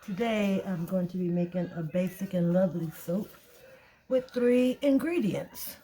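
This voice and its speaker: A woman with a tired, raspy voice, talking casually through a soap-making tutorial and thinking aloud as she weighs ingredients. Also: on the low side, dark tone, slight background noise, poor audio. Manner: slow, chatty, thinking aloud while weighing. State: tired voice, clearing throat. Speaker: soap maker.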